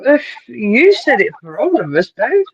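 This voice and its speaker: A man's voice: very high-pitched, wildly swooping pitch, unhurried, a bit bright, lo-fi sound. A man joining a podcast chat with short crude jokes. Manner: joking, crude quips. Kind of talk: casual podcast banter.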